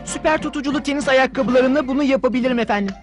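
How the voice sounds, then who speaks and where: A woman's voice: high voice, racing, natural voice. A woman voicing a plucky, earnest young rich boy hero in a dubbed cartoon. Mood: heroic. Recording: music behind the voice.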